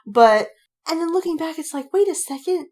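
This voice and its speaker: A woman's voice: extremely high pitch, animated, normal speed, a little mellow. A woman in casual podcast chat about fandom, speaking emphatically with slang and swearing and repeating words for effect. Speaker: podcast co-host.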